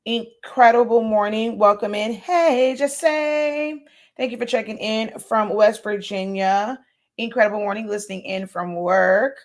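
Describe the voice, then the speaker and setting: A woman hosting a casual livestream chat, hoarse from losing her voice, greeting viewers with lots of laughs and fillers. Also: fairly high pitch, unhurried. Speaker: host.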